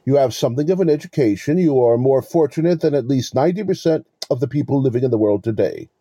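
A man acting in an audio play as a smug, pompous con artist, lecturing verbosely with mock courtesy in a husky voice. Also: medium pitch, lively intonation, quick pace, dark tone, no room sound. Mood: condescending, mock-courteous. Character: smug, verbose male con artist posing as police lieutenant.

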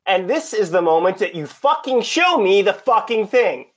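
An impatient male undercover cop in a mystery audio play, acted by a man. He is blunt and demanding, with an exasperated edge. His pitch moves up and down in a very animated way. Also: normal speed. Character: impatient, foul-mouthed male undercover cop posing as buyer.

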